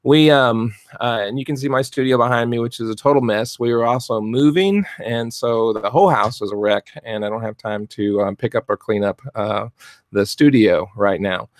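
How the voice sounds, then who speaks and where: Soft spoken middle aged guy, rambly with lots of ums, live stream from his home art studio, dry close mic. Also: mid-range voice, normal speed, no echo. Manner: rambling, many fillers and restarts. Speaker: fluid art business coach. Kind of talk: casual live-stream talk. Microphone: desk mic in front of webcam.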